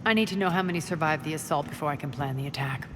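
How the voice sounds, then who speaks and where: A woman's voice: low voice, rapid-fire, background noise, lightly acted. An actress playing a ruthless female crime boss in a sci-fi game, with a cool, commanding, sardonic manner. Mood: brisk, controlled. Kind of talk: cinematic video game acting. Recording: long reverb, music behind.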